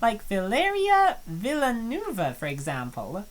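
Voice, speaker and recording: A woman's voice: medium pitch, huge pitch swings, unhurried. A woman voicing a shy young college student who is obsessed with a boy, reading in an earnest, confessional way. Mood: confiding. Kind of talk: visual novel voice acting.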